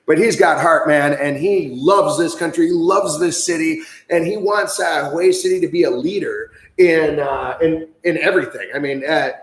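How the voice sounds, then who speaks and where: A man's voice: a little high, moderate pace, few pauses, reverberant. An enthusiastic, chatty man from the United States hosting a livestream, with lots of fillers. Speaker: livestream host. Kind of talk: casual livestream chat.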